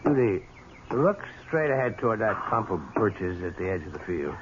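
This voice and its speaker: An actor playing a callous, smooth gentleman rider who is easily rattled, in a 1970s radio drama. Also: on the low side, very animated, moderate pace. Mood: distracted, insistent. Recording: dull, noisy old radio recording.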